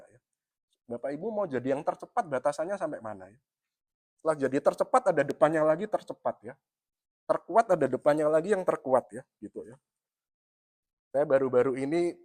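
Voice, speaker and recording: A man's voice: mid-range voice, a little mellow, no echo. Javanese-accented man preaching conversationally. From East Java, Indonesia. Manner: conversational, tags nearly every phrase with "ya"; addresses the congregation often. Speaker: pastor. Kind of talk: sermon.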